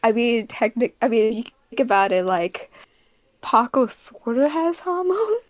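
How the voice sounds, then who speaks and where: A woman's voice: a little high, big pitch swings, moderate pace, no room sound, dull audio. A giggly, rambling woman hosting a casual group podcast. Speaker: podcast host, fandub production crew member.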